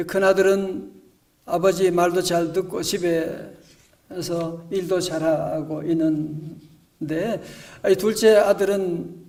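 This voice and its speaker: A male pastor preaching a storytelling sermon in a church, moving between hushed and emphatic delivery. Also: high-pitched, far from the mic. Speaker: senior pastor. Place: church sanctuary.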